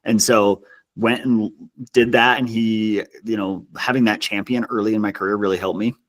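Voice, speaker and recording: A man speaking casually as an interview guest, telling stories in an animated, self-deprecating way. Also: fairly low pitch, normal speed. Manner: self-deprecating, chuckles as he talks. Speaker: podcast guest, customer success executive.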